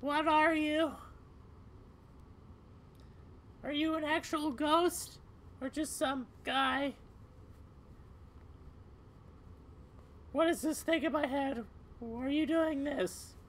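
A woman voicing a troubled, frightened young female cat in video game dialogue, speaking haltingly. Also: high-pitched, fairly even intonation, voice pushed up. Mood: defiant, demanding.

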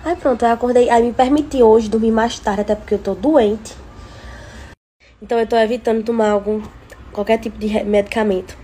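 A woman's voice: fairly high pitch, fairly quick, a little hiss or hum. A young Brazilian woman in her twenties chatting casually to her followers through a phone at arm's length, sounding sick with a cold. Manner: chatty, breezy, animated when venting. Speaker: influencer. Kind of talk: casual vlog stories to followers. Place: home living room and kitchen. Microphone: phone held at arm's length.

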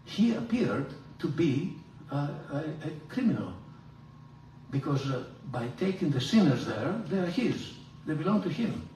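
Older priest lecturing in an echoey hall. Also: male voice, unhurried, slight background noise. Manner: animated, gesturing, emphatic rises in pitch. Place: large room with hard walls. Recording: roomy hall echo, from far off.

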